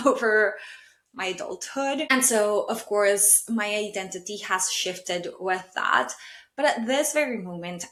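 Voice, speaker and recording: A woman's voice: medium pitch, fairly bright tone. Thoughtful woman in an echoey room. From Colombia. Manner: thoughtful, self-searching, many fillers like "like" and "kind of". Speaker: podcast host and stylist. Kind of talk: reflective solo podcast monologue.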